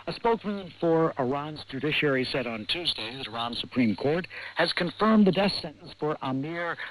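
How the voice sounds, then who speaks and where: A man's voice: mid-range voice, fairly bright tone, slight background noise, rough recording. A man anchoring a radio news programme, reading scripted news, heard over a shortwave broadcast.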